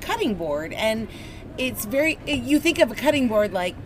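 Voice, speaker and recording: A woman's voice: a little high, big pitch swings, normal speed. A woman chatting on a casual podcast, teasing and insistent. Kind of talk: casual chat. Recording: outdoor podcast with traffic noise.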